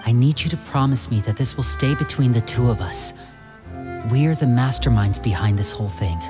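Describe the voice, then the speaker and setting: A woman's voice: extremely low pitch, moderate pace, music in the background. A woman voicing a protective, composed young man, an elder brother with a polite, persuasive manner, in a video game. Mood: resolute. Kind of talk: game character voice acting.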